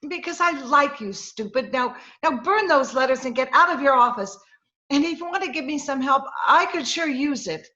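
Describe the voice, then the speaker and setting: An actress playing an urgent, pleading young dame in trouble, in an old-time radio melodrama. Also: female voice, high voice, animated, moderate pace, sharp tone. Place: at home, recorded remotely. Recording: slightly dull, lo-fi remote recording.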